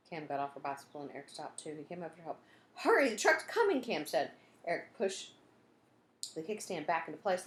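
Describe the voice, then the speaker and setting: A woman reading a children's storybook aloud in an expressive way, acting out the story and adding asides like a teacher. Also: low voice. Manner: expressive, acts out dialogue and sound effects. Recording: roomy echo, some background noise.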